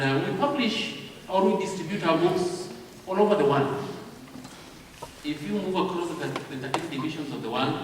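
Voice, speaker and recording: A man's voice: on the high side, fairly bright tone, slight background noise. Kenyan man lecturing in an echoey hall. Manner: speaks slowly and deliberately, checking in with the audience. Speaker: publishing house representative. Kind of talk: training talk at a convention. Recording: echoey hall recorded from far off.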